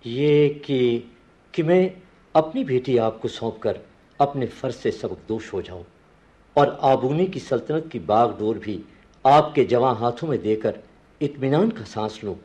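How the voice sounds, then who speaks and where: Actor as aging sultan, courteous, halting, mellow timbre, very animated intonation. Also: male voice, medium pitch, close to an everyday voice. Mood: earnest, hopeful. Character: courteous aging sultan seeking a son-in-law. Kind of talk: radio play acting.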